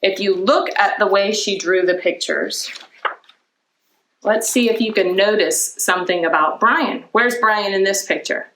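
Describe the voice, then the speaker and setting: A woman speaks as a children's librarian at storytime. Her pitch is average for a woman. She explains things in simple phrases, often asking her young audience questions and answering them herself. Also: measured pace, fairly bright tone, rough recording. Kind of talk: children's storytime read-aloud and talk to young viewers.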